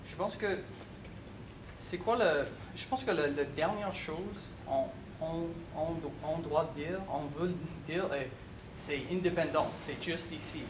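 A young man whose first language is English, speaking French with an English accent, haltingly searching for words as he comments from the floor of a lecture room. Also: on the high side. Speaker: audience member. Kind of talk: hesitant question from the floor. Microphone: far camera. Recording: echoey room from far off, background noise.

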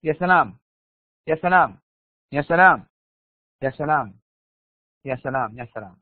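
A man's voice: on the high side, lively intonation, normal speed. A man with a Saudi accent and a tired, strained voice, lecturing a live online class informally, repeating phrases and prodding students to answer. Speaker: university lecturer. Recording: dull lo-fi online audio, roomy echo.

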